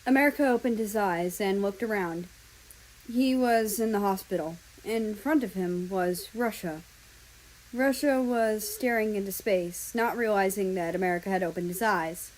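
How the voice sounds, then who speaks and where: A woman reading fan fiction aloud as an expressive narrator. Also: medium pitch, normal speed, rough recording. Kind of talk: expressive storybook-style narration.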